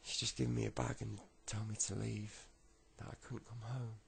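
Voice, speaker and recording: A man voicing a cold, lost young boy in a quiet, hesitant, plain way. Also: on the low side, little pitch movement, normal speed, gravelly, a little hiss or hum, pitched above the performer's own voice. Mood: subdued.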